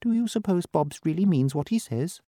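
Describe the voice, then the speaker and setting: A man voicing a timid, anxious female doll in a storybook, high and worried. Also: lively intonation, moderate pace, warm tone, no room sound, clean studio sound, lightly acted.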